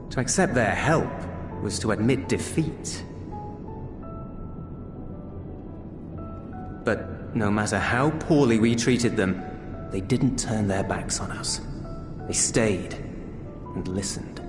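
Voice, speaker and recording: A man's voice: fairly low pitch, slow. An actor playing a reserved, kindly soldierly man in a video game cutscene, speaking in a hesitant, earnest, conversational way. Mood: reflective. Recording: roomy echo with music underneath.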